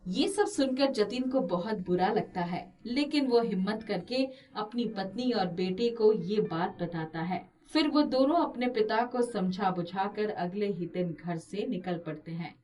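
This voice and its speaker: A woman's voice: medium pitch, unhurried, few pauses, darkish tone, far from the mic. A woman reading scripted narration for a moral story as the storyteller.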